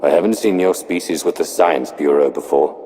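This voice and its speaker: An actor playing a commanding, cold Sith lord in a video game, measured, formal and imperious. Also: male voice, a bass voice, warm tone, long reverb tail, slight background noise. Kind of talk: video game cutscene acting.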